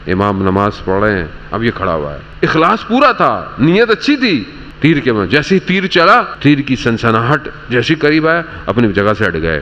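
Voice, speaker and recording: A man preaching a religious sermon, telling a story with passion and climbing to high emotional peaks. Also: a bit bright. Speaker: religious orator. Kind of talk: Muharram majlis sermon.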